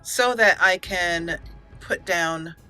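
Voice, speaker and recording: Bright, chatty woman gaming. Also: lowish voice, slow pace, clear, resonant tone, faint music behind. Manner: chatty and playful, laughs often, reads game text aloud. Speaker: let's play host. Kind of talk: gameplay commentary.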